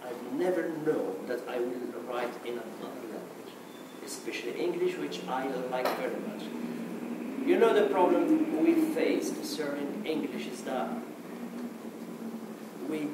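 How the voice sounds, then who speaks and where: A man from Morocco whose first language is Arabic, speaking English with a Moroccan accent in a hesitant conference talk, restarting often and filling pauses with "okay". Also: on the high side. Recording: echoey hall, mic far off, noisy.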